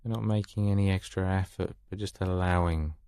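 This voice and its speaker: A man guiding a meditation in a calm, soothing manner with long pauses, recorded close in a dry space. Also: low voice, smooth. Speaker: meditation guide. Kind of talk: guided meditation.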